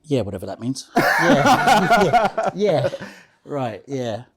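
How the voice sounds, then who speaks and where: A man's voice: mid-range voice, very animated, slow pace, heavy background noise. A man speaking casually as an interview guest, telling anecdotes emphatically, repeating phrases and checking in with the listener. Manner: emphatic, repeats phrases, tags statements with "okay?".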